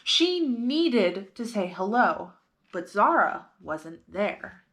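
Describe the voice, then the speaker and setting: A woman's voice: mid-range voice, very animated, slow, a bit bright. A woman hosting storytime, reading a picture book aloud expressively and putting simple questions to young listeners.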